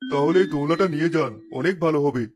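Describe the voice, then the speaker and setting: A man plays a friendly male street vendor in a village cartoon. He has a high voice and a fast, polite sales patter, with music underneath. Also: close to an everyday voice. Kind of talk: cartoon character acting.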